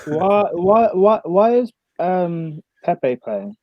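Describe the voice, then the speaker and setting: Male football fan guest, fairly high voice, argumentative and teasing, repeats points in casual debate. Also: sing-song, very slow, dry recording, highs cut off. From Nigeria.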